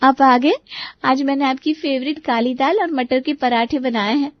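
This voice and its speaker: A meek, wronged young wife voiced by a woman in a story drama, earnest and plaintive. Her voice is very high, and it sits in a dry, slightly muffled recording. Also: normal speed, no echo, natural voice. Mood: eager. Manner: pleading, plaintive. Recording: dull lo-fi recording.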